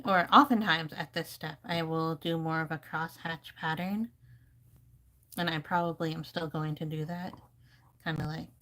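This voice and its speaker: A woman's voice: deep voice, fairly bright tone. A woman giving casual, rambling live commentary as she paints, thinking aloud like an informal art teacher.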